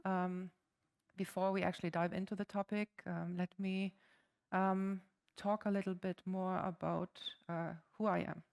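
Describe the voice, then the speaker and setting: A woman's voice: lowish voice, flat delivery, unhurried, gravelly, a little mellow, clear, resonant tone. A woman in her forties from Germany, with a German accent, giving a friendly, chatty conference talk to a live audience, with frequent ums and uhs. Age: 40s. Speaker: conference speaker. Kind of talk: conference presentation.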